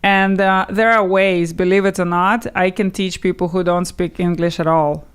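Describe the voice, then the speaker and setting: A woman's voice: lowish voice, level tone, moderate pace, clean tone. A woman with a Russian accent, a guest in an interview, explaining earnestly in short stacked phrases and laughing often. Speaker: guest, English teacher. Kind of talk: casual interview talk.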